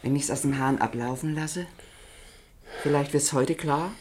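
An actress playing an anxious, fussing older village wife in a German radio play, pleading and fretful. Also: female voice, very deep voice, unhurried, a little mellow, slight background noise.

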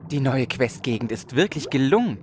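A woman's voice: very deep voice, very animated, normal speed, darkish tone. A woman playing an earnest, noble-minded adventurer in a comedic fantasy audio drama. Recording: faint background noise.

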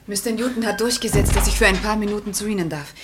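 A woman's voice: medium pitch, fast talker, over music, lightly acted. An actress playing a compassionate, determined woman pleading earnestly with a friend in a vintage radio crime drama.

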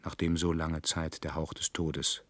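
A man acting as the sombre narrator of a dystopian science fiction radio play, with a husky voice. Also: a bass voice, level tone, measured pace, fairly bright tone, no room sound. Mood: measured, ironic.

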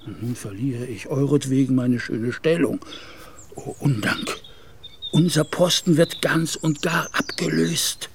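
An actor playing an anxious, middle-aged Turkish gendarme officer who pleads and often whispers, in a radio play. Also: male voice, mid-range voice, measured pace. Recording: radio play with clear background noise.

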